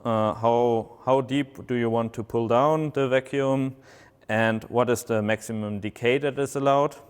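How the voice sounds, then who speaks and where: A man's voice: mid-range voice, fairly even intonation, clear, resonant tone. Hesitant man presenting in a reverberant hall. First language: German. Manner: halting, with frequent "um" fillers. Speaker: product presenter. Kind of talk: product unboxing demonstration.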